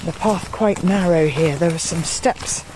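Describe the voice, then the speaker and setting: A woman's voice: on the low side, unhurried. A British woman presenting a walking radio programme, describing the surroundings and interviewing as she goes. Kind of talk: descriptive on-location narration and interviewing. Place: outdoors on a wooded gorge path beside a busy road. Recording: outdoor recording with clear traffic noise. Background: road traffic and outdoor ambience.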